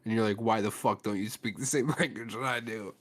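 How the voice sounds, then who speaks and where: A man's voice: on the low side, fairly even intonation, fairly quick, a bit bright. A man co-hosting a movie watch party stream, talking bluntly and swearing freely in casual chat.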